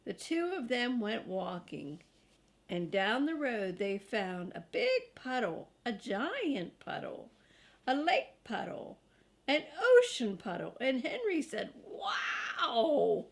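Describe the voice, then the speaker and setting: An older woman reading a children's storybook aloud in an expressive, grandmotherly way, drawing out key words and adding chatty asides for young listeners. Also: on the high side, slow pace.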